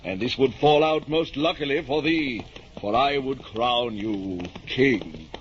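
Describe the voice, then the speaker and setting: A man's voice: medium pitch, takes it slowly, close to an everyday voice. A man playing a deluded, grandiose middle-aged knight-errant in a radio drama, declaiming in lofty archaic speech. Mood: lofty, confident. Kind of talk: 1950s radio drama acting. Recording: muffled, noisy old-time radio recording.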